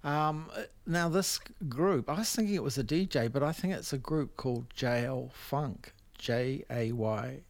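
A man's voice: medium pitch, moderate pace, hardly any pauses, dry recording. A man with a New Zealand accent hosting a music radio show, chatting casually in a rambling, hesitant, laid-back way. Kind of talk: casual unscripted radio DJ chat.

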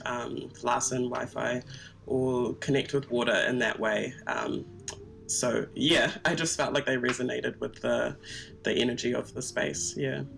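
A woman's voice: rumbling low, vocal fry, a bit bright, slight background noise, rough recording. A woman whose native tongue is Twi, answering casually as an interview guest, reflective and searching for words. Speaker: interview guest, performance artist.

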